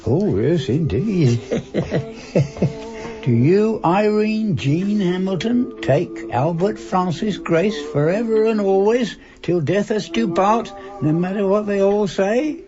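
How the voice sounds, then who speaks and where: A man's voice: sits high, expressive, takes it slowly. An actor playing a doting, playful old London husband in his eighties in a radio drama. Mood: playful, tender. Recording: muffled radio recording with music behind.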